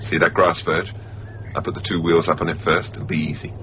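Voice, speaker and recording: An actor playing a quietly assured, scheming man in a radio drama. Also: low-pitched, level tone, brisk, lightly acted. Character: scheming, film-obsessed man plotting a murder. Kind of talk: 1990s radio drama acting. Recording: muffled 1990s radio broadcast, noisy.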